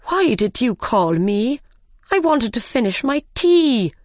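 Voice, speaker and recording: A nervous little tradesman from a storybook, voiced by a woman reader. He sounds defensive and anxious, protesting in a raised, unhurried voice. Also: sing-song, fairly bright tone, no room sound, clean studio sound, heavily acted. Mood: bewildered. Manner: anxious, protesting, high-pitched. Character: nervous, defensive Mad Hatter, adult man. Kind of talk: storybook character reading.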